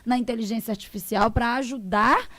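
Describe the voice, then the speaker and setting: A woman's voice: fairly high pitch, fairly quick, a bit bright, no room sound. A woman lawyer on a talk show, explaining in a didactic way and acting out her clients' words. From Brazil. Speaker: social security lawyer, guest.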